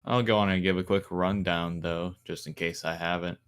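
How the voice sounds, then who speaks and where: A man's voice: low-pitched, fairly even intonation, moderate pace, fairly bright tone, clear, resonant tone. A man co-hosting a casual podcast, telling a work story in a dry, sarcastic way. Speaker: podcast co-host. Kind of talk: casual chat.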